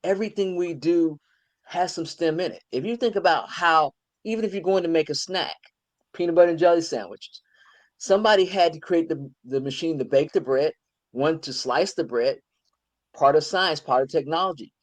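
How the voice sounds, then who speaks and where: A woman's voice: sits low, normal speed. An older woman hosting an interview, talking in an enthusiastic, conversational way. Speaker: host.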